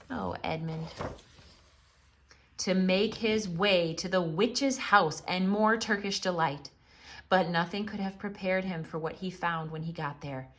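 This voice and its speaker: A woman narrating a children's story theatrically, with expressive, dramatic emphasis. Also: fairly low pitch.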